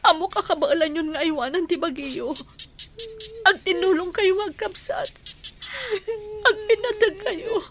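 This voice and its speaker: A woman's voice: very high voice, unhurried, long pauses, a bit bright, a little hiss or hum, full character voice. An actress in a radio drama playing a guilt-torn mother, pleading in tearful melodrama. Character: guilt-torn mother Melody leaving her husband and children.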